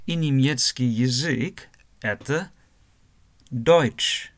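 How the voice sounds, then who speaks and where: A man from Germany, teaching a language lesson slowly, spelling words out letter by letter and repeating phrases. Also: mid-range voice.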